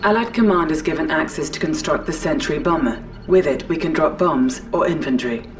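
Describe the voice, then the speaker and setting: An actress playing a composed military announcer, delivering formal, clipped mission updates in a video game. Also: female voice, sits low, a little fast, lightly acted. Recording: slightly distant, music behind.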